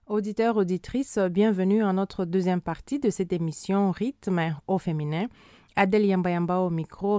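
A woman hosting a radio show, asking formal, measured interview questions. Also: fairly low pitch, warm tone, no room sound, clean studio sound. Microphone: studio microphone.

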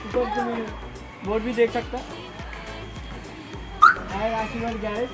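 A man's voice: very high voice, little pitch movement, unhurried, loud music behind the voice. A young man, presenting a vlog to camera. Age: young adult. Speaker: vlogger, host. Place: outdoors at a roadside garage.

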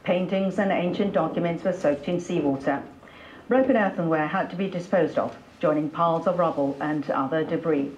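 A woman's voice: fairly low pitch, moderate pace, a little mellow, a little hiss or hum. A woman presenting scripted television news as an anchor, heard played back over speakers in a room. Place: broadcast replayed over speakers in a lecture room. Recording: dull, echoey playback in a hall.

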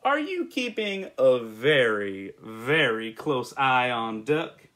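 Storybook farmer, acted by a man: bossy, suspicious, emphatic, with swooping pitch. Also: big pitch swings, takes it slowly, a bit bright. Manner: emphatic, demanding. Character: bossy farmer phoning home, suspicious and exasperated.

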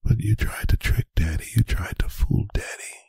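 A man playing a stern, angry, domineering boyfriend in a whispered ASMR roleplay, breathy and gravelly, with slow, deliberate, menacing phrasing. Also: fairly low pitch, mellow, no echo, exaggerated voice. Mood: menacing scolding. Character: stern, angry, domineering boyfriend scolding his adult partner. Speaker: ASMR voice performer. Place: bedroom, close and dry.

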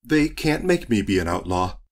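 A man's voice: on the low side, sing-song, normal speed. A man voicing a disgruntled, sardonic male office outlaw in an audiobook, grumbling and exasperated. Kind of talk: audiobook character dialogue.

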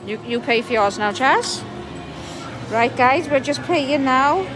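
A woman's voice: sits high, expressive, slow pace, over music, low-quality recording. A mother narrating a casual family vlog, chatty and cheering her daughter on at a bowling alley. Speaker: mother filming a family outing.

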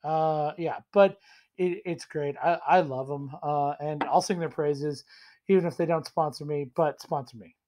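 A man in his thirties with a cold, hoarse and rambling as he tastes and reviews whiskey in a casual home vlog. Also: a little high, fairly even intonation, moderate pace, a little mellow. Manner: rambling, self-deprecating, enthusiastic. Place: small room indoors at home.

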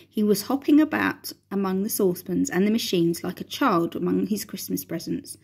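A middle-aged woman teacher reads a children's chapter book aloud with lively expression, her voice at a medium pitch. She records on a phone held close in a large indoor room. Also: breathy edge. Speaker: primary school teacher. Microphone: phone front camera at close range.

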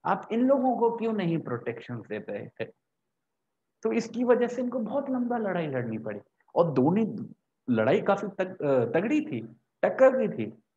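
Young man, slightly high voice, explanatory teacher, question-and-answer lecturing, somewhat dull lo-fi recording. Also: sing-song, measured pace, a little mellow, slight background noise. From India. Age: young adult. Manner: explanatory, repeats points and poses questions he answers. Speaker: law teacher. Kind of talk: lecture to camera, Hindi mixed with English terms. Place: small indoor room with a whiteboard. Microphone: lapel mic.

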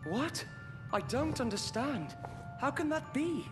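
Formal young lord, lively pitch. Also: male voice, takes it slowly, long reverb tail, music in the background, exaggerated voice. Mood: bewildered. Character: formal, courteous young lord giving orders. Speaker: video game character. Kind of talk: dramatic game cutscene acting.

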